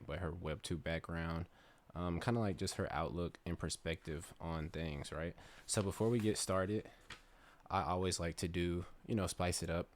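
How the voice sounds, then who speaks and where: Very low-voiced male podcast host. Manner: relaxed, filler-heavy, lots of "right?" and "um". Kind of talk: casual interview hosting.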